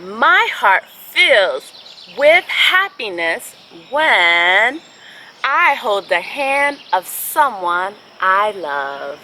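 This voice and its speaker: Lady reading a picture book for little kids, bright voice, takes her time, stretches phrases out, bit of background noise. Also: mid-range voice. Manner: expressive, deliberate, drawn-out phrasing. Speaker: host reading picture books aloud for children. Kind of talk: children's storybook read-aloud.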